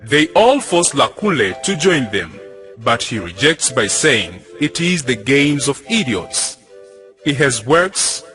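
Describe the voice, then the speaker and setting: Bright, animated young male narrator. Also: mid-range voice, slow, soft background music. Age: young adult. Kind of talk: scripted narration of a play summary.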